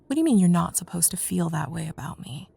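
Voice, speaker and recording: A woman playing a young student in love with her best friend, in an intimate ASMR roleplay, speaking softly and hesitantly, often near a whisper. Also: on the low side, big pitch swings, fast, heavily acted. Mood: earnest, frustrated. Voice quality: soft breathy, husky, often near whisper. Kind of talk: intimate ASMR roleplay, one side of a dialogue.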